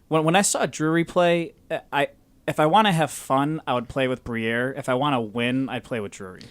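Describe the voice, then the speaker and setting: A man's voice: medium pitch, moderate pace, smooth. A young man with a South Buffalo accent, co-hosting a casual sports podcast, eager and rambling, often repeating his words. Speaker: podcast co-host.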